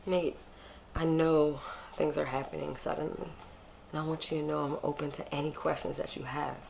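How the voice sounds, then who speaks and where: A woman's voice: deep voice, level tone, moderate pace, gravelly, darkish tone, soft background music. A woman acting a regretful middle-aged birth mother, earnest and hesitant, in a screen drama. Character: regretful birth mother pleading with her grown son. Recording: faint background noise.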